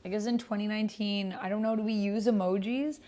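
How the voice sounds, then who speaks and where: A woman's voice: mid-range voice, fairly even intonation, brisk, dark tone. A woman streamer chatting casually and musing to herself as she plays a roleplay game. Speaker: streamer playing a solo tabletop roleplay game. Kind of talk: casual livestream chat, thinking aloud.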